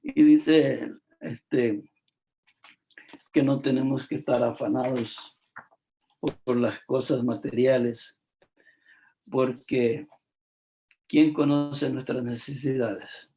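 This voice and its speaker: Slow, gravelly preacher man. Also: medium pitch, steady tone, dark tone, a little hiss or hum. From El Salvador. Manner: slow, repetitive and emphatic, with frequent "este" fillers. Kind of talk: devotional sermon.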